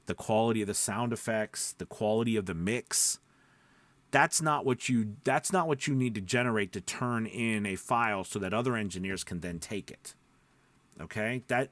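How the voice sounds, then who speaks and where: A man with a medium-pitched voice gives informal instruction as a voice-over coach. He explains things conversationally, repeating points for emphasis and checking that listeners follow. The sound is dry, with very little room echo. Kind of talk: informal instructional talk to camera.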